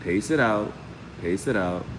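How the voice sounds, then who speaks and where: Deep-voiced man rambling through a tarot reading. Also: lively intonation, unhurried, background noise. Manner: rambling, conversational, repeats "you know what I'm saying". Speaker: host giving live tarot readings. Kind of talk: live tarot reading, casual advice chat.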